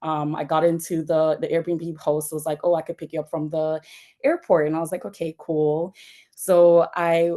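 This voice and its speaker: A woman's voice: sits low, little pitch movement, normal speed, mellow. A young woman from Jamaica, a nonprofit founder, telling her story in a casual interview with many fillers and restarts.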